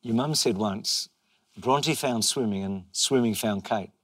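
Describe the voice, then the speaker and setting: A man hosting a broadcast interview, asking measured, prompting questions. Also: on the low side, fairly bright tone. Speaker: interviewer, host.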